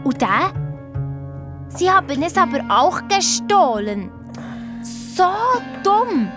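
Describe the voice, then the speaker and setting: A woman's voice: high voice, slow, music in the background, exaggerated voice. A woman playing a young Swiss girl detective with a Swiss German accent, telling a story colloquially in a children's radio play. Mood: animated. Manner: colloquial, excited retelling.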